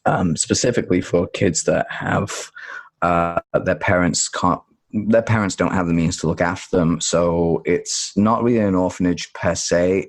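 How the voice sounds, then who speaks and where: A man from Tanzania, talking as a podcast guest in a relaxed, rambling way that often corrects itself. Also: low-pitched. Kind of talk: podcast interview conversation.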